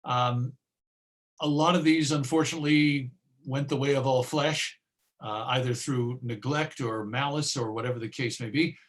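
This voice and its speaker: A man presenting an informal online talk and answering questions in a hesitant, musing way, often pausing mid-phrase. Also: medium pitch, steady tone. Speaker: presenter and old-time radio collector.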